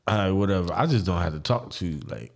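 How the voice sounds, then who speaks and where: A man's voice: deep voice, expressive, fast, darkish tone. A man co-hosting a podcast, chatting casually in loose, slangy phrases. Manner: slangy, laughs easily. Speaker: podcast co-host.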